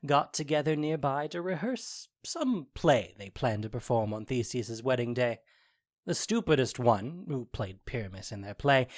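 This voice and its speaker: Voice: mid-range voice, natural voice. An actor playing a mischievous fairy servant in an audio drama, telling things with gleeful, boastful theatricality. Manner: animated, boastful storytelling.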